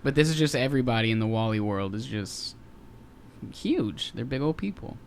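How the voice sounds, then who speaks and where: A man's voice: mid-range voice, moderate pace, a little hiss or hum. A man co-hosting a podcast, chatting casually and joking, often laughing between remarks. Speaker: podcast co-host. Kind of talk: casual chat.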